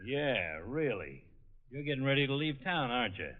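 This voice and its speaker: An actor playing a blunt, gruff police lieutenant with a needling, curt manner in an old radio crime drama. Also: male voice, medium pitch, very animated, normal speed, close to an everyday voice. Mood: gruff, confronting. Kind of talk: 1940s radio detective drama acting. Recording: thin, phone-like vintage radio recording.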